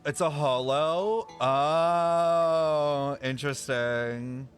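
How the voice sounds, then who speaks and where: A man's voice: a little high, very slow, faint music behind. A man streaming his reaction to a video game, chatty and playful, often exclaiming. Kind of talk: casual reaction commentary.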